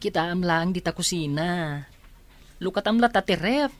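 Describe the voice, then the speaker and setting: A woman plays a helpful family friend in a Filipino audio drama. The voice sits fairly low and talks things through in a reassuring way. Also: moderate pace, close to an everyday voice.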